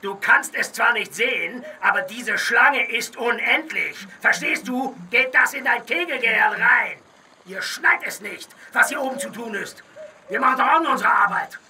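A man's voice: very high-pitched, normal speed, sharp tone, a little hiss or hum, heavily acted, poor audio. A man acting an overworked, irritable clerk of heaven in a radio play, with an officious, hectoring manner. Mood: exasperated.

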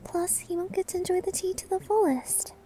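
A timid, sweet novice maid in an anime-style ASMR roleplay. The voice is very high, girlish and very soft, mellow in tone, with hesitant, caring delivery. Music plays behind it. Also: fairly quick. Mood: flustered, caring. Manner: hesitant, gentle, stammering.

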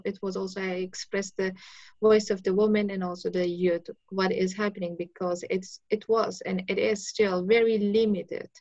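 A woman's voice: mid-range voice, darkish tone, no echo, dull audio. A young woman from Afghanistan, an artist, speaking in a video-call interview with plain, searching phrasing. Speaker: artist guest.

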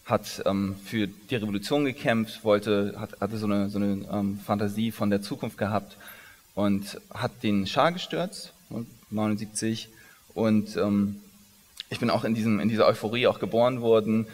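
A man's voice: lowish voice, moderate pace, darkish tone. Hesitant man in a big reverberant hall. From Iran. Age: 30s. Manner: hesitant, many restarts and fillers. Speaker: company founder, interviewee. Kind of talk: onstage conversational interview. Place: large conference hall stage.

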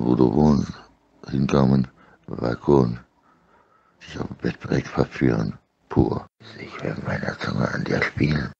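A middle-aged man with an extremely low voice talks in an insistent, repetitive ramble. His monologue is sexually suggestive, and the recording is dull and lo-fi, as if from a phone held close. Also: creaky, husky. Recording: dull lo-fi clips.

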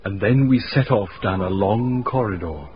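An actor playing a genteel, witty gentleman telling his story in a radio drama, in a wry, wordy, polite manner. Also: fairly low pitch, moderate pace, close to an everyday voice. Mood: wry recounting. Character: genteel, witty gentleman recounting his eerie castle stay. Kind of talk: radio drama narration and acting. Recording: dull radio recording, faint noise.

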